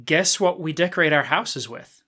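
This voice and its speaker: A New man hosts a podcast, with a bright, fairly high voice. He chats casually and warmly affirms his guest, dropping in playful, humorous asides. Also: moderate pace. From New Zealand. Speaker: podcast host. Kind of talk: casual interview chat.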